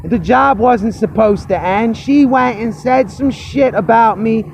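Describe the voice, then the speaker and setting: Hoarse, fired-up man ranting. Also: very high voice, a little slow, a little hiss or hum. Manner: fired-up, repetitive, swearing. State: hoarse, voice cracking. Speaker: vlogger. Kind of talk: angry personal rant to camera.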